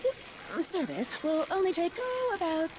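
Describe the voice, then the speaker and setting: Sly sugary lady official actress doing it, high and lilting, noisy game audio. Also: very animated, measured pace, heavily acted. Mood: coy. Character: sly, sugary female official offering a deal. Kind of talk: game cutscene acting.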